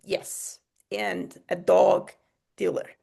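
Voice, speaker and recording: A woman's voice: fairly low pitch, flat delivery, very slow, gravelly, warm tone. A woman hosting a podcast, chatting casually and rambling, laughing and cutting herself off. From Portugal. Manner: rambling, laughing, self-interrupting. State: short on sleep. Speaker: podcast host. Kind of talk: casual chat.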